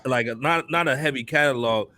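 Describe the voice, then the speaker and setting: A man's voice: medium pitch, a little fast, background noise. A middle-aged man chatting casually and enthusiastically in slang as a hip hop podcast panelist. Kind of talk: casual chat.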